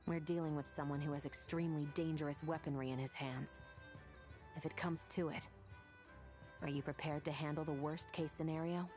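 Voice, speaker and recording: A woman voicing a stern, low-voiced patrol captain. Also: steady tone, a little mellow, close to an everyday voice. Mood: firm. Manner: firm, measured, matter-of-fact. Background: game music.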